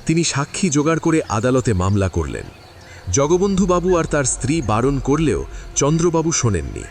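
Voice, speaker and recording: Expressive male narrator. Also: medium pitch, slight background noise. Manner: expressive storytelling. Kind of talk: scripted narration.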